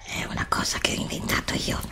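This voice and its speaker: A woman playing an evil, gloating horned sorceress in an ASMR roleplay, speaking in a menacing breathy whisper. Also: close to an everyday voice. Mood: scheming, gloating. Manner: slow, menacing, drawn-out delivery. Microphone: close USB microphone.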